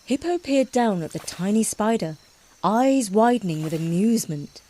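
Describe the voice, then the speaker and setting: A woman narrating a scripted children's story in an expressive, dramatic storytelling style. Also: medium pitch, normal speed.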